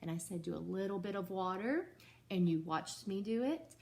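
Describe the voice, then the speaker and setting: Female teacher, story read-aloud for young kids, expressive and patient, mid-pitched voice. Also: moderate pace, vocal fry. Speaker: second-grade teacher. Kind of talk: reading a picture book aloud to a class, with explanations.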